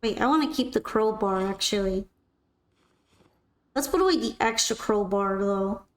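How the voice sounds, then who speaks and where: A young woman streamer chatting casually while gaming, her voice a little raspy and worn out. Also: mid-range voice, moderate pace, reverberant. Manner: chatty, rambling, upbeat sign-off. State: slightly raspy, tired voice. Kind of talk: casual gameplay stream chat.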